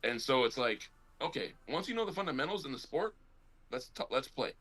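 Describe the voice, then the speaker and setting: Animated, bright-voiced man telling stories. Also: medium pitch, fairly quick. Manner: rambling, animated storytelling, loud when excited. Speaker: podcast co-host and youth basketball coach. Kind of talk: casual sports chat.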